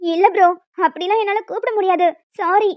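Cartoon fresher girl, woman performer: tiny, very high voice, innocent, polite, pleading. Dry, lo-fi sound. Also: fairly even intonation, a little fast, no room sound. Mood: protesting. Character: naive young female college fresher, polite but protesting. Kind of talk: cartoon story dialogue.

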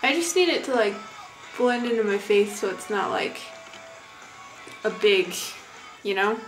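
A woman's voice: mid-range voice, lively intonation, unhurried. A young woman chatting casually through a makeup tutorial, rambling, distracted and self-deprecating. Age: young adult. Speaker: vlogger. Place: small bathroom indoors. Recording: echoey small room, music behind.

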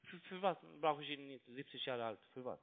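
A man's voice: mid-range voice, brisk. A young man with a foreign accent, arguing insistently and repetitively in broken, non-native speech over a telephone. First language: not German. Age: young adult. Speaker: phone scam caller. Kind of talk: argumentative phone conversation. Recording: tinny, narrow-band phone line.

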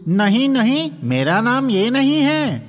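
A woman's voice: on the high side, sing-song, soft background music. A woman voicing a small, sly, demanding male dwarf in a children's cartoon story. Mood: demanding, gloating.

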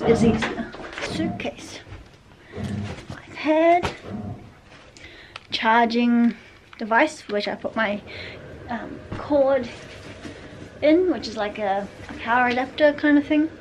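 A young woman vlogging to a handheld camera, chatting casually and rambling with many ums and small laughs, first out in a windy town and then at home. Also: fairly high pitch. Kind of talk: casual vlog chat. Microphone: handheld camera at arm's length.

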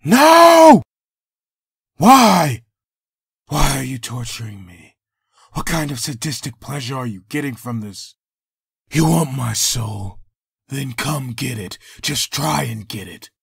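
A man playing an anguished, vengeful undead antihero in a comic dub, gravelly and husky, bitter and dramatic. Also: medium pitch, very animated, slow pace, long pauses, a bit bright, no room sound, clean studio sound, heavily acted. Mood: raging.

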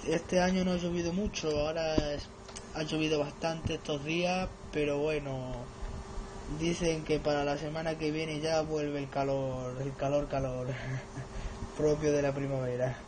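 A man's voice: fairly high pitch, little pitch movement, measured pace, noisy background. A man chatting casually as a radio operator, often repeating words for emphasis. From Extremadura, Spain.

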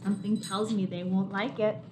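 A woman in her twenties, a preschool teacher reading a picture book aloud to small children in an animated, simply explaining way. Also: medium pitch, a little fast, noisy background. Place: home kitchen, indoors.

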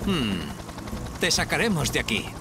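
A man's voice: on the high side, very animated, a little slow, very noisy. A man voicing an eager, dependable firefighter hero in a dubbed children's cartoon, earnest and reassuring. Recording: loud cartoon music behind.

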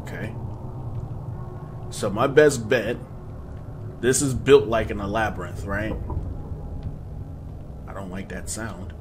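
A man in his twenties giving casual, slangy horror game commentary to his viewers in an animated, excitable way. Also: on the low side, slow pace, long pauses, background noise. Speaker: gaming video host. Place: small room at home, indoors.

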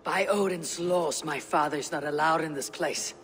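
An actor playing a stern, cold young Viking warrior in a video game, speaking curtly and in measured terms. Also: male voice, fairly high pitch, fairly bright tone, long reverb tail, a little hiss or hum, natural voice. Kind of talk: video game cutscene acting.

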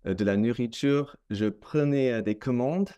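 A young Canadian man, a native English speaker, talking in careful learned French on a video call, with many hesitant pauses. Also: mid-range voice, warm tone. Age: young adult. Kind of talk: casual conversation in learned French. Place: small room at home. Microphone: laptop webcam mic on video call.

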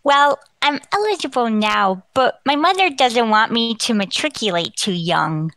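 A woman plays a brainy young boy in an old-time radio comedy. The voice is high and bright, with polite, very proper diction and lively swings in pitch. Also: a little slow, no echo. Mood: polite, earnest. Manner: proper, articulate, bookish diction. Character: precocious, polite, bookish eleven-year-old boy.

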